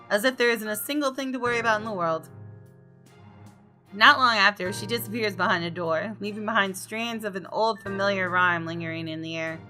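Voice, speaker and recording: Girl gamer narrating a visual novel, bright clear-cut tone, chatty asides, quiet music behind her. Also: medium pitch. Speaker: gaming host reading the story aloud. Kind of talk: expressive read-aloud narration with casual commentary. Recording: faint game music behind. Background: soft game music.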